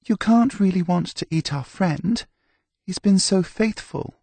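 A man voicing a gentle, protective young woman traveler in an audiobook. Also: sits high, expressive, unhurried, creaky, no echo, voice pushed up, heavily acted. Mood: pleading. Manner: pleading, gentle.

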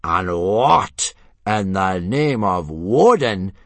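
A man voicing a loud, bad-tempered, pompous Viking drill instructor in a children's audiobook. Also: low-pitched, sing-song, extremely slow, no echo, full character voice. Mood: outraged. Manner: bellowing, blustering, pompous. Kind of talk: dramatised children's audiobook reading.

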